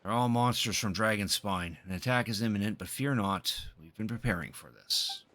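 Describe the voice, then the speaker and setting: A man with a low voice live streaming a game, reading the dialogue aloud and stumbling now and then before correcting himself. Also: normal speed, pushed and bright. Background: game music.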